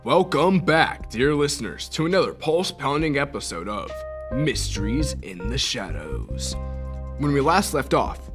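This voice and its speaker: A man narrating an old-time radio mystery in a suspenseful, dramatic announcer style. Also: medium pitch. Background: music.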